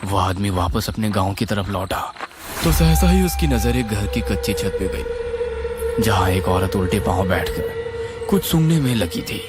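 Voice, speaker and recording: A man narrating a scripted horror story in a suspenseful, dramatic, expressive way. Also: on the low side, measured pace. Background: music.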